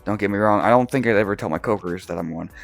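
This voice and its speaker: Groggy young guy rambling thru his story, lowish voice, laughs a lot. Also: quick pace, creaky, no room sound, soft background music. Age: young adult. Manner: rambling, laughs often, restarts words. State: groggy, just woke up. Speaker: vlogger. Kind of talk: casual personal storytelling.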